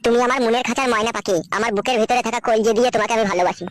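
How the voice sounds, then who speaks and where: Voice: mid-range voice, racing, music in the background, close to an everyday voice. An actor voicing a lovesick young married man in a comic cartoon dub, making earnest, sentimental declarations.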